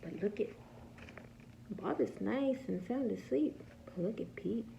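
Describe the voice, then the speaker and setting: A woman reading a picture book aloud as a preschool teacher, pausing to ask small children simple questions and patiently explaining the answers. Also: on the high side, vocal fry, lo-fi sound, a little hiss or hum. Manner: animated, asks simple questions and answers them herself.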